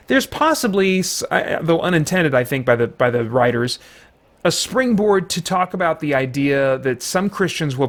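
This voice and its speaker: A man giving an unscripted review to viewers as the host, conversational and often correcting himself as he goes. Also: medium pitch. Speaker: film reviewer, channel host.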